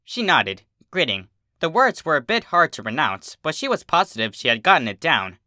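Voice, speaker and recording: Bright-voiced man reading a story aloud. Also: on the high side, huge pitch swings, moderate pace, no echo, studio quality. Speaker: narrator of fan fiction readings, channel host. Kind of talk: expressive scripted story narration.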